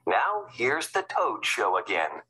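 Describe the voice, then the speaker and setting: A man's voice: fairly low pitch, huge pitch swings, slow, pushed and bright, slight background noise, pitched below the performer's own voice, full character voice. A performer voicing a stern, self-important man, a formal and authoritative President in a storybook. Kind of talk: storybook character reading.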